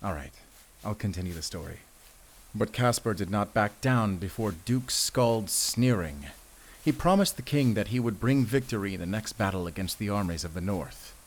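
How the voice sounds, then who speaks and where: Man voicing a grave, low-pitched German werewolf hunter. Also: expressive, moderate pace, a little hiss or hum, natural voice, rough recording. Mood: measured storytelling. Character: gruff werewolf hunter, guarded but protective. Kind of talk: cinematic audio roleplay acting. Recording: music behind the voice.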